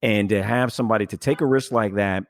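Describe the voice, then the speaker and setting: A man's voice: lowish voice, quick pace, no room sound. A man hosting a casual conversational podcast interview in an effusive, emphatic, rambling way. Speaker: podcast host.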